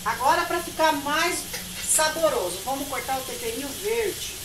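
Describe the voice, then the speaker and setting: A woman's voice: high voice, animated, moderate pace. A middle-aged woman, chatting casually and directly to viewers as she demonstrates home cooking. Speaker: home cook and vlogger. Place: small home kitchen, indoors. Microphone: phone, partly from across the room. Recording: echoey kitchen with clear sizzling noise.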